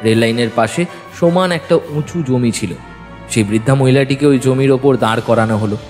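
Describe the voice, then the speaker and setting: A man's voice: medium pitch, moderate pace, a little mellow. A man narrating a detective audio story in the first person, suspenseful and dramatic. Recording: long reverb, music bed. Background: music.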